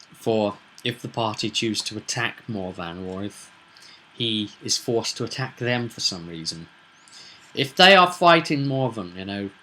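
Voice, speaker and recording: A man's voice: lowish voice, bright, forceful tone, slight background noise, poor audio. A man hosting a tabletop roleplay vlog, explaining the game in a casual, rambling, conversational way with frequent asides to the listener.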